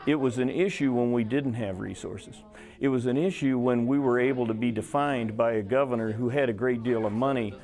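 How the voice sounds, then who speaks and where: A man's voice: mid-range voice, a little fast, darkish tone. A man who is a local party official, speaking in an interview. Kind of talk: interview answer.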